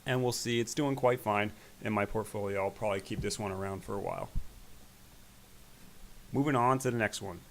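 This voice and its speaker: A man hosting an investing channel, talking casually through his stock portfolio in a rambling way, his voice growing raspy. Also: fairly low pitch, fairly quick, long pauses, a little hiss or hum. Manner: relaxed, rambling, with fillers. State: voice getting raspy. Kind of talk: casual screen-share stock commentary.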